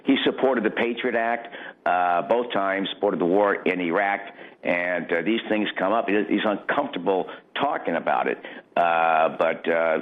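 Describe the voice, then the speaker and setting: A man speaking off the cuff as a political candidate in a radio interview, blunt and opinionated, repeating phrases for emphasis. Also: deep voice. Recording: tinny, narrow phone-like line.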